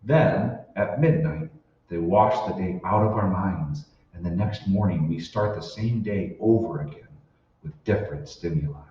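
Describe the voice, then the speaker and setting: A man plays a determined radio-drama hero with a fairly low, animated voice. He is urgent and emphatic. The recording is muffled and very echoey, as from far off. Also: unhurried. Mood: urgent, astonished. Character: determined, earnest man uncovering a conspiracy. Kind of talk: old-time radio drama acting. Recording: echoey hall, distant, muffled, noisy.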